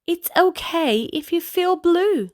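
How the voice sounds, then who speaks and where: A woman reading a children's picture book aloud as a storyteller, in a gentle, deliberate manner addressed to young listeners. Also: extremely high pitch, animated, no room sound, clean studio sound. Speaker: children's storybook reader, channel host. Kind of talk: read-aloud bedtime story narration for children.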